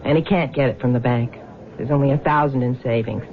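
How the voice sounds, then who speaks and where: An actress playing a greedy, scheming wife with a sly, slangy, drawling manner in a 1970s radio drama. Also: female voice, very deep voice, a little fast, lo-fi sound. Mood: greedy, calculating. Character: greedy, scheming, unfaithful wife, hard-boiled and brassy. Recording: thin old radio broadcast.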